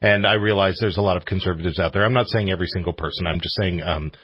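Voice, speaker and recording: A man's voice: low-pitched, fast talker, highs cut off, slight background noise. A man hosting a radio show in casual chat, with an informal, joking manner.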